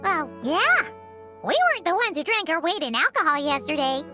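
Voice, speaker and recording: Game companion: childlike fairy girl, sky-high squeak, very animated, loyal and excitable. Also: a little fast, music in the background. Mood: cheeky. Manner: bubbly, speaks of herself in third person. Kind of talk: video game voice acting.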